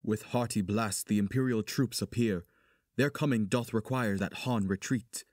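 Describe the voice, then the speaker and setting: A solemn stage chorus, performed by a man, telling the tale in verse. The voice is on the low side, with a dry sound. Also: moderate pace, a little mellow, dry recording, close to an everyday voice. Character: solemn male theatrical chorus storyteller. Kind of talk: amateur dramatic reading in Shakespearean verse.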